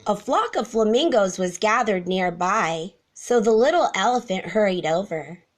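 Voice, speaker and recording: A woman reading a children's story aloud in an expressive narrating style. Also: mid-range voice, moderate pace. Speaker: storybook reader.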